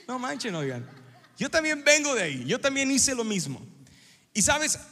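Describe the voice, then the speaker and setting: A middle-aged man preaching a sermon as a pastor, animated and joking, acting out little scenes as he talks. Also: high voice. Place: church sanctuary. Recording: long hall reverb in a church.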